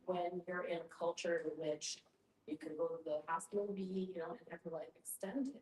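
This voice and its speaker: Woman w/ lowish voice musing in a group chat, echoey room, bit of background noise. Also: steady tone, brisk, darkish tone. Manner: conversational, thinking aloud. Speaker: discussion group participant. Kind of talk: reflective group discussion.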